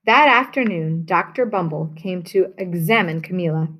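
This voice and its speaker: A woman teacher reading a children's picture book aloud to her class, telling it with expression. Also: lowish voice. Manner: slow, expressive storytelling.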